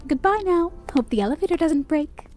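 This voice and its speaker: Saccharine female bureaucrat boss, acted by a woman, high and swooping, condescending, for audio drama. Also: lively intonation, fairly quick, a little mellow, soft background music. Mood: breezily commanding. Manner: saccharine, condescending, falsely cheerful. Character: sugary, menacing female director of an otherworldly bureaucracy. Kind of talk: scripted audio drama acting.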